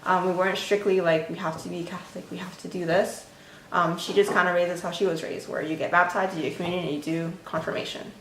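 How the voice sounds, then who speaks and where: A woman's voice: on the low side, little pitch movement, fast talker, echoey room, slight background noise, low-quality recording. A young woman from the United States chatting casually about her upbringing, with frequent fillers. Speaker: interviewee in a couple's conversation. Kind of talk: casual personal chat.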